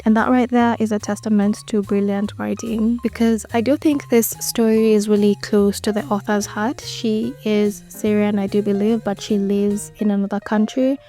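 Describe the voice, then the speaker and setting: Mellow, flat-toned woman reviewing books. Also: mid-range voice. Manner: earnest, enthusiastic, conversational. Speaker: book reviewer. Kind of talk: casual book review monologue.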